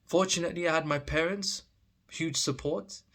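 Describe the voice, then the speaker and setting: A man's voice: on the high side, steady tone, normal speed, a bit bright. A man in his thirties from Oman with a British accent, chatting casually to camera in a vlog, with many fillers and restarts. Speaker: vlogger.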